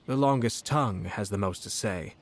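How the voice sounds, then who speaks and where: An actor playing a composed, shrewd young nobleman in a video game, speaking eloquently with measured, subtly mocking courtesy. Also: lowish voice, natural voice. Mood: sly amusement. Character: composed, shrewd young noble lord, politely cutting commissioner.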